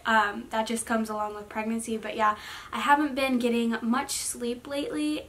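A woman's voice: fairly high pitch, fairly bright tone. A young woman in her twenties chatting casually in a vlog from her bedroom, rambling with many fillers. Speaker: vlogger. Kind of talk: casual pregnancy update vlog. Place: bedroom, seated on bed.

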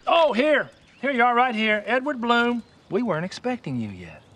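A folksy town greeter. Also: male voice, extremely high pitch, big pitch swings, normal speed, a bit bright, slight background noise, exaggerated voice. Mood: welcoming. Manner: folksy, welcoming. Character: cheerful small-town greeter man. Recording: film soundtrack with music.

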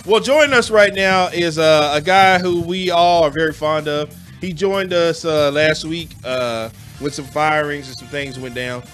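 Upbeat higher-voiced sports show host. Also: male voice, measured pace, fairly bright tone, soft background music. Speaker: podcast host. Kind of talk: casual sports talk show. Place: studio with desks and panels. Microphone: desk microphone on a boom.

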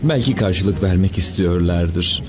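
A man's voice: low voice, a little slow, over music. A man voicing a big, goofy, good-natured cartoon superhero in a hammy, awkward manner. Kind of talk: Turkish cartoon dub acting.

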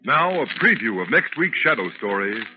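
A man's voice: medium pitch, very animated, moderate pace. A man announcing a scripted 1930s radio program in a dramatic, emphatic way. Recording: muffled 1930s radio recording with music.

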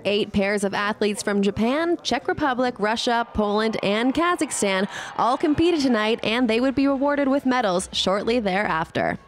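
A woman giving scripted sports highlights commentary as a voice-over. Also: animated, moderate pace. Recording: clear ambient event noise behind voice.

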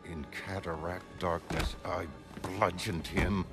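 An actor voicing a doomed mariner who narrates a gothic horror tale in a slow, solemn, haunted way. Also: male voice, sits low, level tone. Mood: haunted dread. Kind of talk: grim gothic storybook narration in a motion comic. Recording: studio voice over prominent music.

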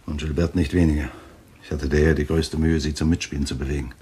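An actor playing a vengeful, calculating husband in a German thriller radio play, with measured phrasing. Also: male voice, a bass voice, steady tone, warm tone, slightly rough, exaggerated voice. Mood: calm, self-satisfied. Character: vengeful, calculating husband who staged a cruel charade.

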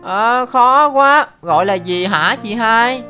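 Lady doing curious little bro in a kids cartoon, bright eager voice, music underneath. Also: fairly high pitch, lively intonation, takes it slowly, heavily acted. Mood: puzzled, curious. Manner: eager, inquisitive. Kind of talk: children's cartoon dub.

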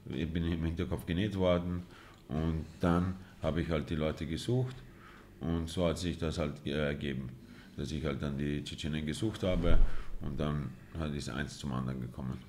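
A man's voice: a bass voice, steady tone, moderate pace, a little mellow. A young man from Vienna, telling his story casually as an interview guest. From Vienna, Austria. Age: young adult. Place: small indoor studio lounge. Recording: echoey room, faint noise.

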